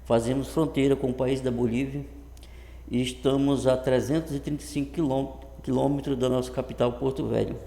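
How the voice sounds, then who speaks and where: In a very echoey, reverberant room, a man in his early fifties speaks with a northern Brazilian accent. His voice is creaky and mellow. He talks casually to a webcam in a halting way, circling back over his words. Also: medium pitch, steady tone. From Brazil, Rondônia. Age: early 50s. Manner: halting, often repeats and restarts words. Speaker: vlogger introducing his channel. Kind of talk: casual talk to camera. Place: improvised untreated home room. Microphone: computer webcam setup.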